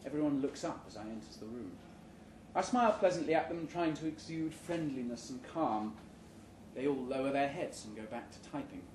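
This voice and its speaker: A man giving a live, measured, deadpan reading of satirical fiction at an indoor venue. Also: mid-range voice. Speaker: short story reader. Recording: roomy, noisy live venue recording.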